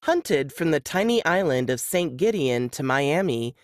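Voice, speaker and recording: A woman's voice: sits low, lively intonation, measured pace, no echo, studio quality. A woman reading scripted narration in a dramatic thriller-trailer style. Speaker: book blurb narrator.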